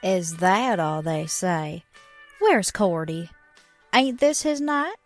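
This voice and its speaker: A woman's voice: lowish voice, animated, takes it slowly, faint music behind. A woman playing a folksy, teasing small-town diner waitress with sassy, colloquial talk in a radio drama. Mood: teasing, friendly.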